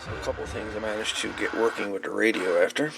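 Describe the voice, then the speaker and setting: A man giving a casual, unscripted repair update in a folksy, halting manner. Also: on the low side, creaky, loud music behind the voice. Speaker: hobbyist radio repairer presenting own channel.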